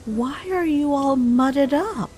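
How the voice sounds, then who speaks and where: A woman voicing a wise, nurturing mother deer in an animated comic dub, gentle and unhurried with a teasing side. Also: high voice, soft background music. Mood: knowing, concerned.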